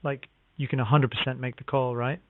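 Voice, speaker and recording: A man's voice: medium pitch, flat delivery, a little fast, dry recording. A man working as a real estate phone agent, speaking politely in a scripted sales-call style with formulaic acknowledgements. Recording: narrow, tinny phone line.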